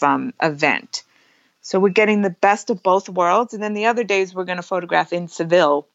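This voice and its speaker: Lowish-voiced woman, dry and slightly dull recording. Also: fairly bright tone, dry recording. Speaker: photographer and workshop teacher, interview guest. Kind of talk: casual interview chat.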